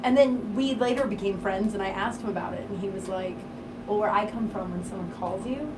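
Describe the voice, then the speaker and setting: A woman's voice: medium pitch, a little fast, recorded from a distance. A woman co-hosting a comedy radio show in a small studio, telling stories bluntly with a teasing edge. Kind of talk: casual comedic chat. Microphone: studio boom mic with headphones. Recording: roomy studio audio, off-mic with hiss.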